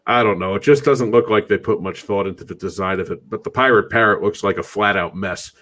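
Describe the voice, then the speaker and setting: A man's voice: fairly low pitch, fairly quick, a bit bright, a little hiss or hum. A man hosting a casual sports talk show, steering the banter and adding his own opinions.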